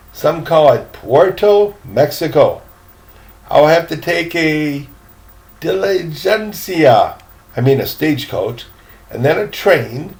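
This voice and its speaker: A man's voice: fairly high pitch, darkish tone. An older man voicing a frank, polite, well-off boy in a storybook, with matter-of-fact manners.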